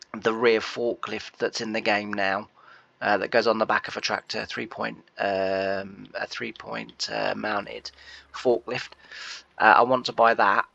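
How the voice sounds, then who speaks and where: Male game host, stuffy-nosed from a cold, rambling, deepish bright voice, dull dry mic. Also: slow pace, creaky, no echo. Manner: rambling, many fillers and restarts. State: nasal from a cold. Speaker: gameplay host. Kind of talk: casual gameplay commentary.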